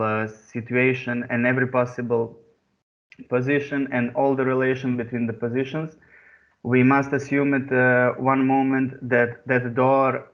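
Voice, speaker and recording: A man in his twenties who grew up in Serbia, giving an artist talk over a video call, pausing often with fillers and restarts. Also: medium pitch. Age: 20s. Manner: hesitant, many pauses and fillers. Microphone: computer mic on a video call. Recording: muffled video call audio.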